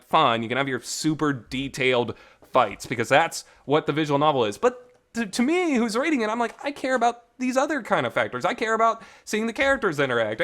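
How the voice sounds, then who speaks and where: A man with a slightly high voice talks off the cuff like a podcast reviewer. His pitch swings widely as he makes his points emphatically, in everyday language with mild swearing. The recording is a reverberant, makeshift home setup. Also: a bit bright. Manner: colloquial, mildly profane, emphatic. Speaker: host of a visual novel review channel. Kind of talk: casual unscripted podcast-style review rant.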